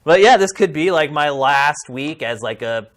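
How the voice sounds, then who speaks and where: A man's voice: a little high, animated, normal speed, fairly bright tone. A middle-aged man speaking as a podcast host, addressing viewers in a casual, heartfelt and emphatic way.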